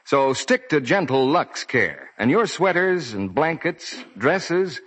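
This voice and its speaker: A man's voice: on the high side, sing-song, takes it slowly, a bit bright, husky. An American man announcing on 1940s radio, giving polished, explanatory sponsor messages. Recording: dull 1940s radio broadcast.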